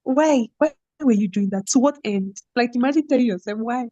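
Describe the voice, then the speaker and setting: Young nigerian woman telling school stories on a podcast, animated, laughs a lot, dry slightly muffled mic. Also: on the high side, a little fast, no room sound. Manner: animated storytelling, with frequent laughter and "do you get?". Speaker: podcast guest, former head girl. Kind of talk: casual conversational interview.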